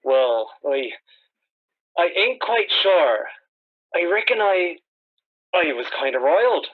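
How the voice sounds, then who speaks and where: A man's voice: sits high, very animated, slow, exaggerated voice. Man acting a rambling farmer, tinny radio sound. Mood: hesitant. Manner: hesitant, rambling, rustic dialect turns of phrase. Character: slow, rambling, folksy male farmer, mildly rattled eyewitness. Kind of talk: 1930s radio drama acting. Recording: tinny telephone-like radio band.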